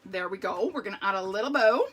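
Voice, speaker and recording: A middle-aged woman with a strained, hoarse voice, chatting through a live card-making tutorial with lots of ums and okays. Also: medium pitch, expressive, fast talker, a bit bright.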